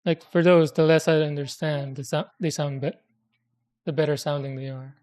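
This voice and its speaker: A woman's voice: sits low, fairly even intonation, moderate pace, darkish tone. Chatty woman with a Filipino accent. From Philippines. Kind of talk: casual chat.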